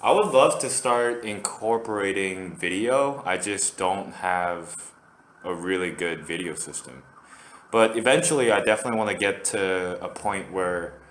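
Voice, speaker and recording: A man's voice: fairly low pitch, fairly bright tone, roomy sound. A man from Korea, hosting a casual solo podcast and rambling with lots of fillers and restarts. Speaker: podcast host.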